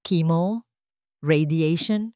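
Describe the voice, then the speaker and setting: A woman's voice: low voice, extremely slow, dark tone, no echo. Woman reading as a serious male doctor in a radio drama, firm n puzzled, dry sound.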